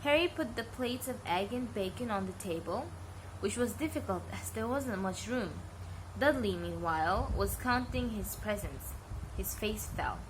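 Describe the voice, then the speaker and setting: A woman's voice: medium pitch, animated, unhurried. Woman reading a bedtime story, noisy recording. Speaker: amateur storybook reader.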